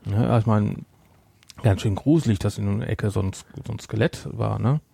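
A man's voice: on the low side, brisk, gravelly, warm tone, breathy edge, no room sound. A man co-hosting a podcast, chatting casually in a rambling, speculating way. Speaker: podcast co-host. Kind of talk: casual chat.

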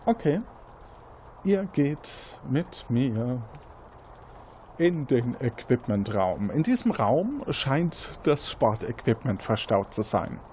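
A man's voice: medium pitch, big pitch swings, slow, darkish tone, slight background noise. A man hosting as game master, narrating an interactive detective game in a conversational, improvised manner.

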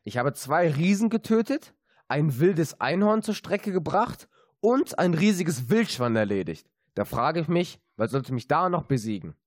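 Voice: medium pitch, animated, a little slow, no echo, clean studio sound. An actor playing a plucky, boastful little tailor in a children's fairy-tale radio play, confident and cunning.

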